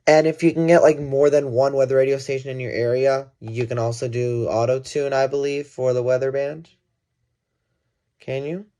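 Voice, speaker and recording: A man's voice: mid-range voice, little pitch movement, normal speed, warm tone. A man giving a casual hands-on gadget demonstration, thinking aloud and correcting himself as he goes. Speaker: product reviewer.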